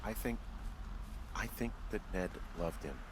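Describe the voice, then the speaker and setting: A man's voice: fairly low pitch, animated, unhurried, heavy background noise. A male actor playing a subdued, reflective fire lookout in naturalistic game dialogue, plain and hesitant with an American accent. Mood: hesitant, sympathetic.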